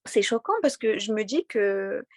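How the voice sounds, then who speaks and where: A young woman with a light Moroccan accent speaks earnestly, stumbling over repeated words as she answers. Her voice sits in a middle pitch. The recording is close and dry, with no room sound. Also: dry recording. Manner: earnest, halting with many fillers and repeated words. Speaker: interviewee. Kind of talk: conversational interview.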